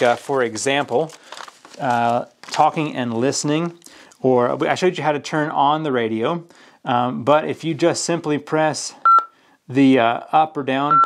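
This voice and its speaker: A man's voice: medium pitch, fairly bright tone, a little hiss or hum. A man explaining plainly, step by step, in a casual hands-on product walkthrough. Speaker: product reviewer. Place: indoors at a work table.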